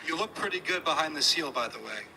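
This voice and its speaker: A man's voice: fairly high pitch, fast, creaky. Casual male interviewer in a noisy room. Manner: casual, flattering, chatty. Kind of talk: onstage conversational interview. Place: room with a live audience.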